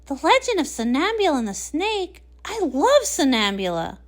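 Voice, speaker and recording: A woman's voice: high-pitched, big pitch swings, moderate pace. A woman voicing a bubbly, scatterbrained female party pony, bouncy and chatty, in a cartoon comic read-along. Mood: cheerful, bubbly.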